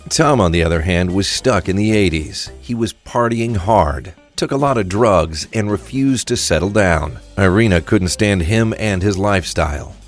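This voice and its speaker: A man narrating a scripted audio drama as storyteller. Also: lowish voice.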